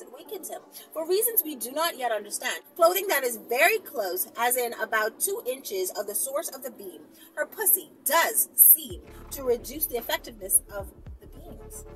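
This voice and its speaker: High, bright, animated American woman storyteller. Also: low-quality recording. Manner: expressive, sassy, breaks into exclamations and asides. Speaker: channel host reading a story aloud. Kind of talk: animated storytime reading with casual asides.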